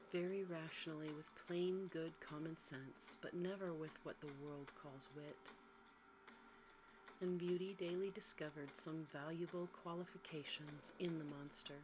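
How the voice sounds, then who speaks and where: Low, hushed woman reading a bedtime story. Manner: quiet, gentle, unhurried. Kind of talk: ASMR sleep story reading, soft and hushed. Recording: faint background noise.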